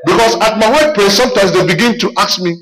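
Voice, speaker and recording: High-voiced Ghanaian preacher. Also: male voice, quick pace, low-quality recording. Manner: animated storytelling, repeats himself, calls "Amen". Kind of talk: sermon with personal stories.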